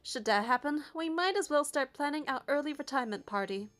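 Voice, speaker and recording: A woman voicing a wry, conversational female deep-space hunter in a story game. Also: animated, fairly quick, a bit bright. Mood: wry, resigned.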